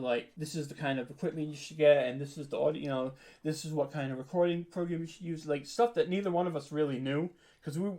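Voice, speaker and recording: Casual man, slightly higher-pitched voice. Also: darkish tone. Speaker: interview guest, audio drama podcaster. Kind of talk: casual interview chat.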